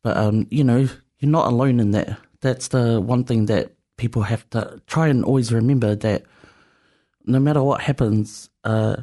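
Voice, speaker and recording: A man hosting a community radio chat. He is thoughtful and easygoing and pauses often. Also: medium pitch, warm tone. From New Zealand. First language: Samoan. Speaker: radio host.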